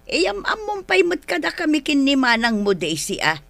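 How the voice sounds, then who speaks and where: Stern mother, woman, admonishing, questioning, animated intonation, radio drama. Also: high-pitched, normal speed, vocal fry, no echo, full character voice. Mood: stern, probing. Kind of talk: Filipino radio drama acting.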